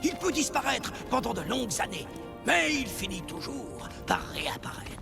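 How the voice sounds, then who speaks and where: A grave cartoon sage, with a high-set, gravelly voice that rises and sinks like a storyteller's. He speaks mysteriously as a wise clan elder, with music behind him. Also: big pitch swings, normal speed, full character voice. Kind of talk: French cartoon dub acting. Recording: background music under the voice.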